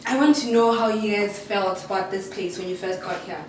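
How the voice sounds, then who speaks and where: A woman hosting a casual group interview, chatty and quick to agree with her guests. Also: mid-range voice, slight background noise. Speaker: host. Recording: echoey room, mic at a distance.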